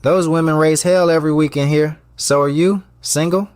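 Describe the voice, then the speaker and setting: A man playing a guarded, hardworking chef and restaurant owner in an audio drama, brisk and teasing. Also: on the high side, steady tone, darkish tone, clean tone, no echo, studio quality, natural voice.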